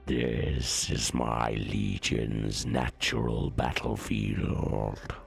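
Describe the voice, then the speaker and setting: A man's voice: extremely low pitch, flat delivery, very slow, creaky, faint music behind, voice pushed down, full character voice. A man voicing a grave, menacing legion commander in a sci-fi story reading, ponderous and solemn. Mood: grim relish. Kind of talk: dramatic audiobook-style reading of science fiction.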